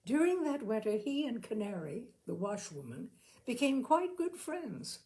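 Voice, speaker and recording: Gravelly-voiced woman reading a literary story. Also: fairly high pitch, very animated, measured pace, mellow. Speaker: audiobook reader, storyteller. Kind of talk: expressive literary short story narration.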